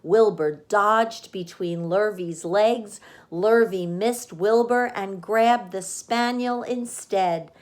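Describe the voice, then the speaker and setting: A woman narrating a children's storybook as a read-aloud reader, with expressive delivery. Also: medium pitch, slow pace, few pauses.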